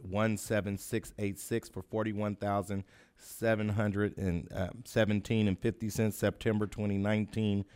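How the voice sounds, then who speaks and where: A man's voice: on the low side, level tone, normal speed, darkish tone, smooth. A man chairing a public board meeting, hesitant with many fillers as he reads items.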